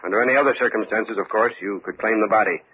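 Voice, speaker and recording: A man plays a steady, matter-of-fact police lieutenant in an old radio mystery. The voice is on the low side and businesslike. The recording is dull and plainly noisy. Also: fast, natural voice. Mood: measured, businesslike. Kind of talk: vintage radio mystery acting.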